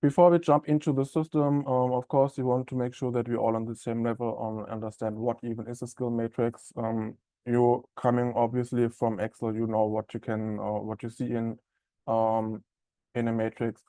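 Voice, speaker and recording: A man from Germany with a German accent presenting a live software webinar in a friendly, conversational way with many ums and uhs. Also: mid-range voice, little pitch movement, moderate pace, dry recording.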